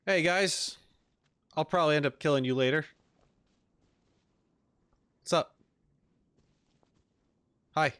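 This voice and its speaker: Animated man streaming, dry close sound. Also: fairly high pitch, no room sound. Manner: animated, wry, joking. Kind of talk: casual video game playthrough commentary.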